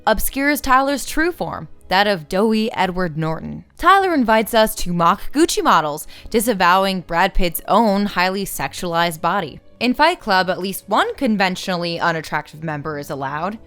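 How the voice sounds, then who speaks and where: A woman's voice: medium pitch, expressive, moderate pace, few pauses, a bit bright, smooth, soft background music. Wry woman narrating a film essay. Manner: wry, sarcastic asides. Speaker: video essay host. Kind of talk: scripted film analysis narration.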